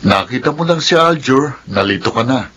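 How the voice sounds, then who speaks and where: Animated, teasing young Filipino man, acted. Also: medium pitch, normal speed, a little hiss or hum, natural voice. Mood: teasing, smug. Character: teasing, analytical younger brother, playfully smug. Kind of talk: radio drama acting.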